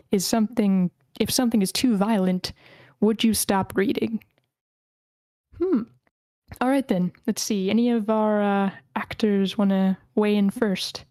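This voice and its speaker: A woman's voice: medium pitch, warm tone, no room sound. A woman hosting a script table read, moderating casually and reading aloud. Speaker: host of script table reads.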